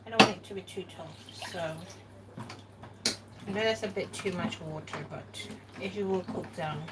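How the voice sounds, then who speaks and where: A woman's voice: fairly low pitch, normal speed. A woman from Ghana who thinks aloud in casual chat while she cooks in a home kitchen, recorded by a camera some way off. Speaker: cooking vlogger. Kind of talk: casual cooking commentary. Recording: distant mic with kitchen noise.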